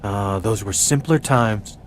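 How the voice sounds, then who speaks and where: An actor playing an excitable, dramatic male commentator in a wrestling video game. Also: on the low side, slow, a little hiss or hum. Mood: joking.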